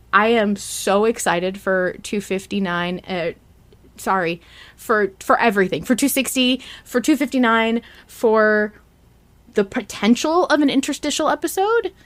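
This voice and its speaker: A woman with a fairly high voice hosts a fan podcast. She talks in an excited, emphatic way, often halting and starting again as she gushes. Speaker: podcast host.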